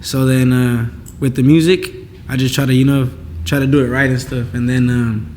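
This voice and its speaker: A man's voice: mid-range voice, fairly even intonation, moderate pace, darkish tone, a little hiss or hum. A young man whose first language is Spanish, talking in a laid-back way as a guest singer, with many likes and restarts. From Southern California. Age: young adult. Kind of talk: casual interview chat.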